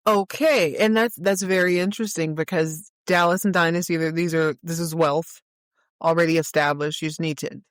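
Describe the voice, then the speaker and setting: A woman's voice: low-pitched, fairly even intonation, normal speed, no echo. A woman hosting a podcast interview, chatting casually as she asks questions and responds to her guest.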